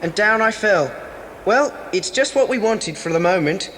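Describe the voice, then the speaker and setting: A man's voice: high voice, animated, normal speed, a bit bright. A man playing a brave, cheerful boy who leads and reassures the others in a children's audio adventure dramatisation. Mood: reassuring. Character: brave, cheerful boy leader, protective and matter-of-fact. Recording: noisy, reverberant old cassette dramatisation.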